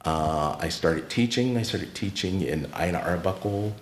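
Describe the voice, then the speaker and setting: A middle-aged American man, a podcast guest and retired teacher, telling stories of his life in an unhurried, reflective way that turns lively when he recounts encounters. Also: deep voice. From United States. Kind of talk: casual interview storytelling. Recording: roomy echoey room.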